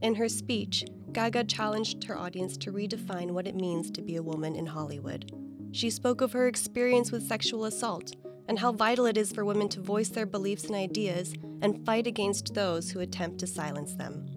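A woman's voice: medium pitch, normal speed. Woman reading a news feature script. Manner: even, read-aloud delivery. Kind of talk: scripted narration. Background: background music.

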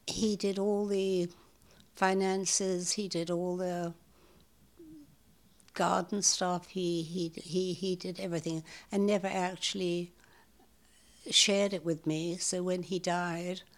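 An older woman hosting a home share, talking casually in an interview, halting and repeating words with many fillers. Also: on the low side, level tone.

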